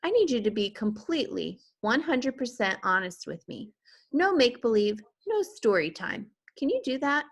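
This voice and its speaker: A woman's voice: a little high, very animated, moderate pace, husky, voice pushed up, exaggerated voice. A woman voicing a stern, exasperated, frantic father in a children's storybook. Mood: stern, tense.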